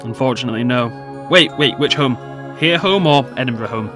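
A man's voice: mid-range voice, a little fast, music in the background, close to an everyday voice. A man playing a talkative, easygoing, joking secondary-school pupil in a light comedy audio drama, rambling playfully. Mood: friendly, curious.

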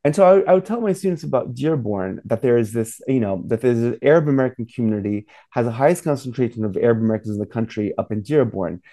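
Forties guy from saudi arabia, author, rambles with lots of ums, warm mellow tone. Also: medium pitch, animated, quick pace, hardly any pauses. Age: 40s. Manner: rambling, many fillers and restarts. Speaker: author and professor. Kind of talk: interview guest.

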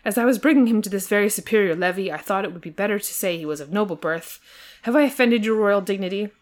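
A smooth nobleman of a comic storybook, as a woman plays him. He is courtly and deferential, always ingratiating, and quick with an answer. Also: mid-range voice, lightly acted. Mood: apologetic. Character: smooth, deferential, quick-witted English earl.

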